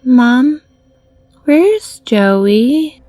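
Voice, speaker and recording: Small child, high voice, very slow, frightened, pleading, horror story. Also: female voice, animated, mellow, dry recording, exaggerated voice. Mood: anxious, puzzled. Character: frightened five-year-old child, pleading, worried. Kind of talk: horror story character acting.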